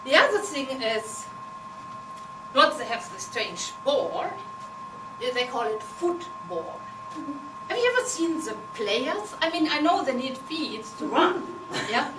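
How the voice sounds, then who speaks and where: A woman's voice: high voice, very animated, slow. German-accented woman giving a comic speech. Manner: playful, teasing, non-native phrasing. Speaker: contest speaker. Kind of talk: humorous contest speech. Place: meeting hall with audience. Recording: echoey hall from far off, noisy.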